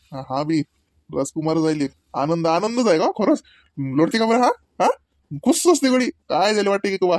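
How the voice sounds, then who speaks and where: A man's voice: sits high, a little mellow, dry recording, studio quality. A man voicing a doting, proud young father in a comedy cartoon, chatty and folksy, with a Varhadi Marathi accent. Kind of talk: homemade comedy cartoon dialogue.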